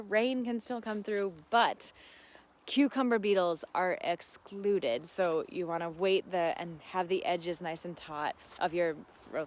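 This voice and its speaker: A woman hosting a casual live gardening Q&A, chatting informally and expressively with enthusiasm as she answers viewer questions. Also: fairly low pitch.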